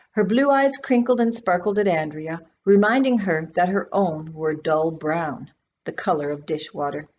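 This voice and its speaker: A woman's voice: lowish voice, darkish tone. A woman reading a children's novel aloud expressively, as its author. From Canada. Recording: dull sound with faint background noise.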